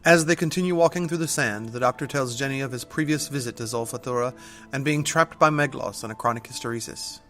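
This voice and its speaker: Bright-voiced male audio drama narrator. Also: medium pitch, quick pace, faint music behind.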